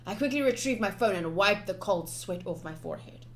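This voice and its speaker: A woman's voice: medium pitch, fairly quick, a little hiss or hum. A woman game streamer giving a playful, theatrical read-aloud of a visual novel with casual commentary. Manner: expressive, playful, theatrical reading. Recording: game music behind.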